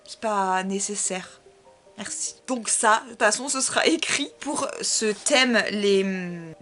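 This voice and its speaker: A woman's voice: fairly high pitch, bright, forceful tone. A woman book vlogger talking casually and informally to her viewers, with chatty, self-deprecating asides. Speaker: book vlogger presenting a reading challenge.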